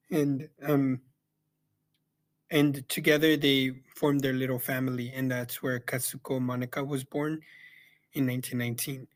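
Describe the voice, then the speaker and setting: A young man talks through a book review in a relaxed, unscripted way. His voice sits a little high for a man. He often stops to say um and restarts his sentences as he works out what to say next. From El Salvador. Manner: hesitant, many ums and restarts. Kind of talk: casual spoken book review. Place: Yakima, Washington.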